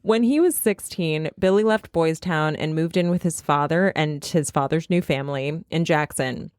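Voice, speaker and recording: A woman's voice: deep voice, moderate pace, darkish tone, clear, resonant tone, no room sound. A woman with a Midwestern accent hosting a podcast, telling a story in casual chat and laughing often. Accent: Midwestern American. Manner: chatty, laughs often, reads quotes aloud. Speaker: podcast host.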